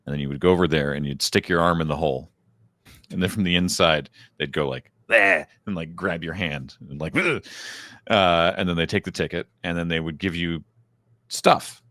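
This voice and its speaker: A man with a very deep, low voice talks casually on a podcast, wandering through a story with lots of restarts and fillers. The sound is dry and close to the mic. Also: moderate pace, pushed and bright, dry recording. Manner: rambling, self-interrupting storyteller. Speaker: podcast co-host. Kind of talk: casual recap chat.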